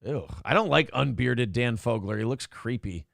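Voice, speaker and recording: A man's voice: mid-range voice, lively intonation, moderate pace, creaky, fairly bright tone, dry recording, clean studio sound. A man hosting a casual morning talk show, brash and profane, reading viewer donations aloud and riffing on politics.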